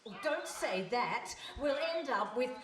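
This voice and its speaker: Casting: scatterbrained, apologetic lady storyteller played by a woman, high voice, theatrical, heard from afar over audience noise. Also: animated, measured pace, a crowd in the background. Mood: flustered dithering. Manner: dithering, hesitant. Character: scatterbrained, apologetic female storyteller, easily muddled. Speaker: storyteller in a live comic play. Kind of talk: theatrical live radio play acting. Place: hall stage before a live audience. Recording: echoey distant hall with audience noise.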